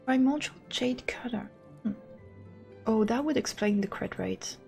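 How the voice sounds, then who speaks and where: A woman's voice: fairly high pitch, unhurried, vocal fry, a little mellow, soft background music. A woman game streamer chatting casually as she thinks aloud, rambling and a little self-deprecating. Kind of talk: casual livestream chat and commentary.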